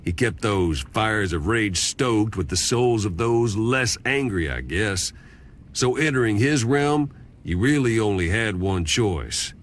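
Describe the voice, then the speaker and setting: An actor playing a wry fallen angel turned folksy storyteller in a video game, narrating in a colloquial drawl. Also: male voice, fairly low pitch, lively intonation, slow, a little hiss or hum. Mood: wry storytelling. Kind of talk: scripted game cutscene narration. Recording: loud music behind.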